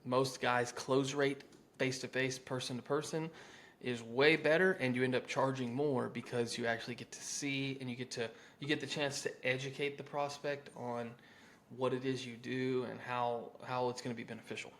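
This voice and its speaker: A man's voice: mid-range voice, flat delivery, normal speed, a bit bright, a little hiss or hum. A man with an American accent coaching contractors in an informal, conversational, salesy way, mixing instruction with sample phone-script lines.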